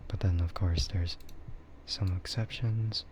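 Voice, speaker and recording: A man chatting softly in a casual ASMR stream, often slipping toward a whisper, with a rambling, hesitant delivery. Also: deep voice, fairly even intonation, slow, a little hiss or hum. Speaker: VTuber streamer. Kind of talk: soft ASMR casual chat.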